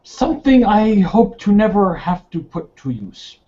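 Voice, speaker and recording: A man's voice: high voice, sing-song, measured pace, warm tone, dull audio, voice pushed up. A man playing a terse, evasive male guard in a tabletop roleplaying game. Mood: guarded, calm.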